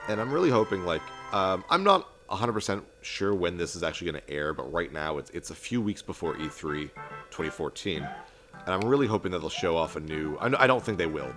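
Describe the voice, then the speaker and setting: A young man talking casually over a retro game he is playing, in an even, monotone way, with many ums and restarts. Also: low-pitched. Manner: self-described monotone, rambling with filler and restarts. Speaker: let's play host. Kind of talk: casual gameplay commentary. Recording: game music playing behind voice.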